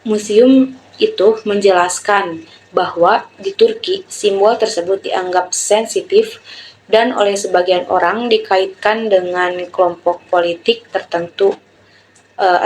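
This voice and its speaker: A woman's voice: medium pitch, darkish tone, slight background noise, poor audio. A young woman giving a prepared student presentation, telling and explaining an example. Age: young adult.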